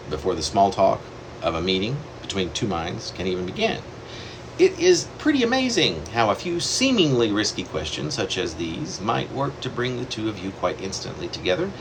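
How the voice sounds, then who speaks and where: A man reading his own long poem aloud in a wandering, self-correcting way, with sudden leaps in pitch. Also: noisy background. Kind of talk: poetry reading.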